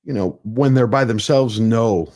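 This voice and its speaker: A man's voice: mid-range voice, a little slow, darkish tone. A man chatting casually as a panelist on a stream, teasing and asking questions. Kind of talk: casual chat.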